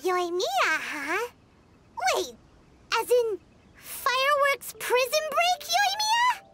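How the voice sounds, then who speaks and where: A woman voicing a tiny, fussy, chatty fairy-like companion in a game cutscene, with a squeaky childlike voice, and she refers to herself in the third person. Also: expressive, slow pace, background noise, exaggerated voice. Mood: startled. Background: loud game score music.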